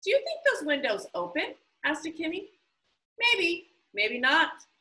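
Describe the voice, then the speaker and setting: A woman reading a children's novel aloud, animated and voicing each character as she goes. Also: unhurried, roomy sound. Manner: animated, voices the characters with big pitch jumps. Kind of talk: expressive read-aloud storytelling.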